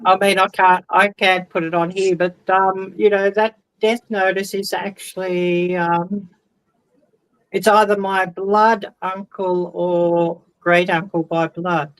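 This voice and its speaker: A woman's voice: medium pitch, little pitch movement, slow, no echo, rough recording. A woman talking over a video call as a guest, hesitant and full of ums. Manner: hesitant, many ums, soft trailing. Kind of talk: casual video call chat.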